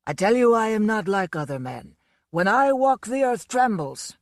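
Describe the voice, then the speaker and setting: A woman's voice: on the low side, big pitch swings, normal speed, no echo, clean studio sound. A woman voicing a huge, drunken circus fat man who brags sleepily and boastfully.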